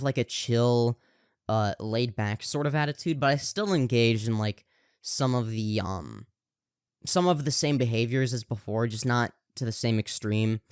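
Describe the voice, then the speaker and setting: A man co-hosts a casual podcast, talking in a smooth, clear voice that he pushes out to project. He rambles with many fillers and restarts. The sound is dry and close. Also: medium pitch, no room sound. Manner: projecting voice on camera. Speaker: podcast co-host. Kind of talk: casual chat.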